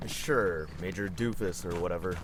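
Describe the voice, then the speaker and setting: A man's voice: deep voice, normal speed, slight background noise, close to an everyday voice. Man as a smug, teasing older brother. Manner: sarcastic, casual. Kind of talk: naturalistic audio drama acting.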